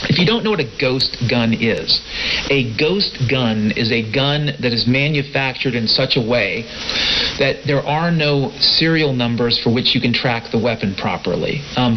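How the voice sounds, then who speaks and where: A man hosting an informal radio monologue, talking to his listeners in a folksy, familiar way. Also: medium pitch. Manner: folksy, addresses listeners as friends, brothers and sisters. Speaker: host of a shortwave podcast broadcast. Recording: hissy, muffled shortwave radio broadcast.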